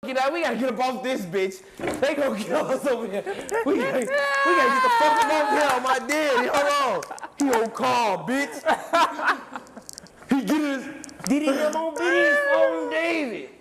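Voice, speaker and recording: Man mimicking a friend, clowning, shouting, very high voice, lively pitch, noisy played-back clip. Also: moderate pace, full character voice. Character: young man mimicking a friend in a rowdy jail story. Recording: played-back clip with loud background noise.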